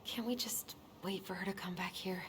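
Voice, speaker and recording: A woman's voice: medium pitch, steady tone, moderate pace, noisy background, close to an everyday voice. An actress playing a skeptical, pointed young woman, challenging and sardonic in video game dialogue. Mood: impatient.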